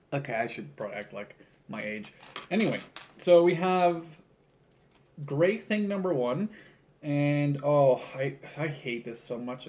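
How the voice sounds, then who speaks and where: Young guy in his 20s, voice a bit high, chatty vlog rambling, jokey and keeps cutting himself off. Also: a little mellow. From Canada. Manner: informal, self-interrupting, jokey. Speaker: video creator building a toy model. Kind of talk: casual rambling vlog chat. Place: small room at home, seated at a table indoors. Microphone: camera on tripod about a metre or two away.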